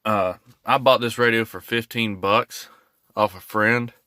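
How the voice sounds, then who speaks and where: A man's voice: on the low side, steady tone, measured pace, sharp tone, dry recording, clean studio sound. A man giving a casual, hands-on video walkthrough in a laid-back, folksy way. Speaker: hobbyist vlogger showing a truck install.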